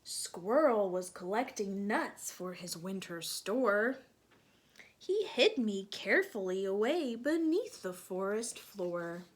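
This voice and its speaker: A woman reading a picture book aloud to young children, gently asking them questions and sometimes dropping to a soft whisper. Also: mid-range voice, expressive, slow pace, a little hiss or hum.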